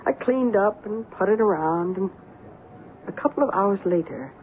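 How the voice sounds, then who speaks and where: Thin narrow-band noisy radio recording, actress as sensible woman, earnest suspenseful storytelling, mid-pitched, old-time radio drama. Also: animated, unhurried, close to an everyday voice. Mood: suspenseful recounting. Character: sensible woman recounting her housemate's eerie obsession.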